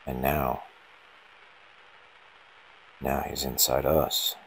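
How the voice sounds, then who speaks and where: A man reading a scripted first-person horror story in short, ominous, dramatic phrases, with a gravelly, husky voice. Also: extremely low pitch, level tone, very slow, fairly bright tone, a little hiss or hum, poor audio.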